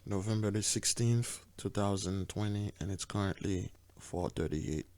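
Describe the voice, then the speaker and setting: A man with a raspy voice hosting a religious video, preaching with emphatic stress on key words. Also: fairly low pitch, normal speed, darkish tone. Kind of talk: preaching commentary. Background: faint music.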